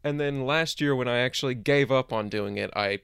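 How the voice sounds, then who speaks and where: A young man talking as a guest on a podcast, relaxed and laid-back, swearing casually. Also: medium pitch, a little fast, creaky, smooth, no room sound, clean studio sound. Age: young adult. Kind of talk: casual interview talk.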